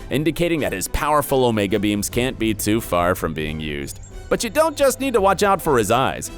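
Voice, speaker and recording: A man narrates a scripted film breakdown at a middle pitch, with intonation that rises and falls dramatically. His delivery is emphatic yet conversational, like an online presenter. Faint music plays behind him. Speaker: video narrator.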